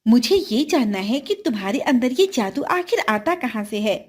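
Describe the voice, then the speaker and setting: A woman's voice: on the high side, very animated, moderate pace, a little mellow. A woman playing a greedy, scheming stepmother in a children's story, with a coaxing, eager and calculating manner.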